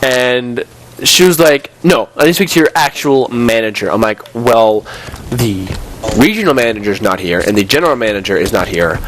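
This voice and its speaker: A man's voice: mid-range voice, moderate pace, a bit bright. Exasperated nineteen-year-old man, noisy recording. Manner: exasperated, acting out remembered exchanges. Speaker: gaming video host. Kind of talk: casual storytelling while playing a video game.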